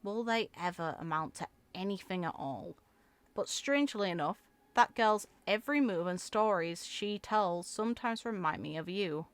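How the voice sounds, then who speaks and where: A woman reading video game story text aloud in an expressive, theatrical way, as a content creator. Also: medium pitch, normal speed, smooth, no room sound. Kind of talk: reading game lore aloud with reaction asides.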